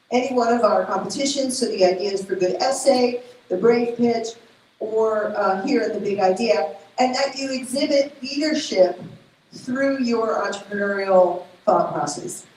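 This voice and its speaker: A woman with a Spanish accent speaking at a live event, presenting programs and awards in an informal, halting way with many fillers and self-corrections. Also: on the high side, a little mellow. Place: large event hall. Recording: very echoey hall, faint background noise.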